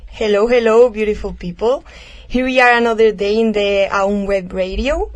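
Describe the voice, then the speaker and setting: A young woman hosting a casual volunteer radio show, friendly, and hesitant with fillers when giving her own opinion. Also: medium pitch. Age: young adult.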